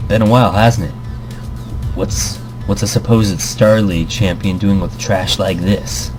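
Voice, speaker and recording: A man's voice: fairly low pitch, fairly even intonation, measured pace, natural voice. A man voicing a smug, scornful male pro gamer in a visual novel, sneering and condescending, over music. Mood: cold, taunting.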